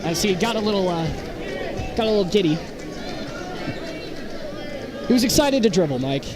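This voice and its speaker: Male sports announcer, high register, terse running play calls, heavy arena noise. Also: lively intonation, normal speed. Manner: clipped, fragmentary play calls. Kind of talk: live basketball radio commentary. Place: indoor basketball gym, up behind a balcony. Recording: loud gym crowd and court noise. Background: crowd, bouncing basketballs.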